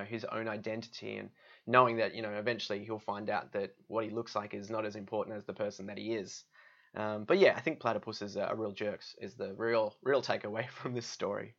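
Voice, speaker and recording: A man's voice: lowish voice, fairly bright tone, dull audio. A man with an Australian accent reading a storybook aloud and chatting casually about it. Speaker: guest storybook reader. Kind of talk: storybook narration with casual asides.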